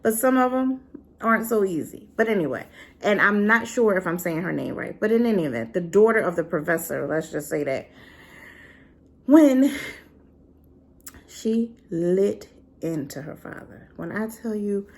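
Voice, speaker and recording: Woman, drama reviewer, sassy, animated, chatty, talks right to the viewer, mid pitch. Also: normal speed, creaky. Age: adult, been married and divorced. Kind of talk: casual review chat.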